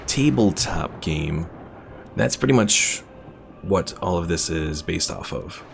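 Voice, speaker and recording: A man's voice: sits low, animated, slow, creaky, slight background noise. A man streaming a strategy game, thinking aloud and reading out names from his chat. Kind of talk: casual gameplay commentary.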